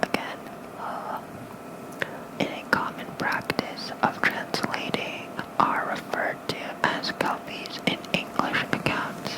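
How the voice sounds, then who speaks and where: Slow, soft ASMR whisperer. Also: rough recording. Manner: soft, hushed, unhurried bedtime reading. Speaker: ASMR creator reading aloud. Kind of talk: whispered ASMR reading of folklore.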